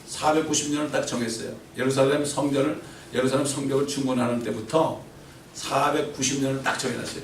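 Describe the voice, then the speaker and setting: A middle-aged pastor delivers an emphatic sermon, his voice sitting a little high and rising to loud peaks before dropping to quiet asides. He keeps turning questions back to the congregation. It is picked up from a distance in a roomy church, with a faint hum of ambience behind him. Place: church sanctuary. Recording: roomy church echo from far off.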